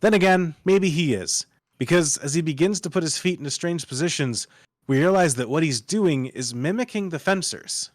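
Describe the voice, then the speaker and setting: A man's voice: fairly high pitch, moderate pace, no room sound. Man narrating a script, dry sound. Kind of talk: scripted narration of screenplay stage directions.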